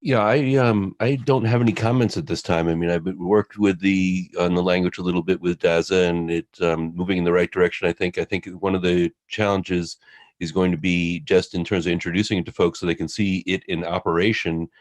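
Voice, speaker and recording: Man, very deep voice, dry sound, hesitant brief remarks at a hearing. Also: fairly even intonation, fairly quick, no room sound. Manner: hesitant, loosely phrased remarks. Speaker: committee hearing participant.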